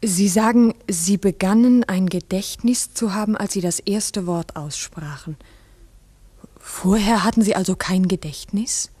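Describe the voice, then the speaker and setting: An actress playing an earnest village schoolteacher in a 1960s radio drama, asking careful, polite, probing questions. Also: female voice, medium pitch, unhurried, warm tone, husky, no room sound.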